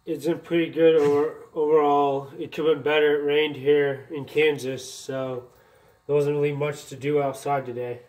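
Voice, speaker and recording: A man's voice: fairly high pitch, level tone, moderate pace, darkish tone. An American man chatting casually as a radio operator on a two-way radio. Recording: noisy, distant mic beside handheld radio.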